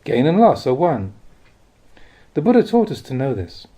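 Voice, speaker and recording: A man reading spiritual teachings as scripted narration, in a measured, instructive way with deliberate phrasing. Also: mid-range voice, sing-song, dark tone. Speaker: reader of Buddhist teachings.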